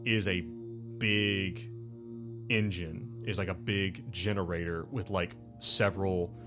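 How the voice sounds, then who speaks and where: A man running a tabletop game, narrating off the cuff in a halting, conversational, explanatory way. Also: deep voice, steady tone. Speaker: game master. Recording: music behind the voice.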